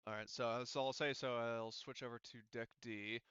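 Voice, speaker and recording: A man's voice: medium pitch, steady tone, normal speed, a bit bright, smooth, no echo, dull audio. A man running a tabletop roleplaying game as game master, talking casually and thinking aloud as he narrates and explains rules.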